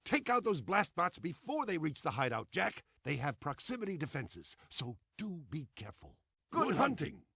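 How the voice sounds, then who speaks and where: A crotchety old underground leader in a video game. The voice is sharp, raspy and fairly high, recorded dry. He lectures and gives orders with pompous, grumbling authority. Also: wildly swooping pitch, moderate pace, dry recording, full character voice. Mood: urgent orders. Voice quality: raspy, creaky. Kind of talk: animated video game cutscene acting.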